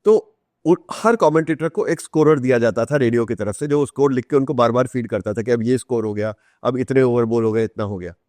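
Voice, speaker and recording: A man's voice: mid-range voice, fairly quick, darkish tone, dry recording. A middle-aged Indian man speaking as a podcast guest, explaining and telling anecdotes conversationally, mixing in English phrases. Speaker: interview guest, author. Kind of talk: conversational storytelling in a podcast interview.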